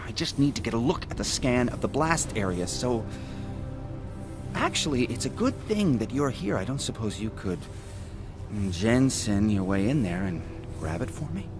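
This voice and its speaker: An actor playing a chatty, jittery, defensive forensic investigator in a video game, excitable and rambling with hesitations. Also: male voice, medium pitch, normal speed, over music, natural voice. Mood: nervous, chatty. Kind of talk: video game cutscene acting.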